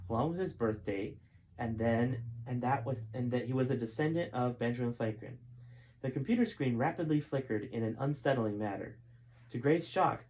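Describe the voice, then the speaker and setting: A man's voice: medium pitch, a little mellow, rough recording. A man reading his own adventure book aloud as a storyteller, in scripted narration.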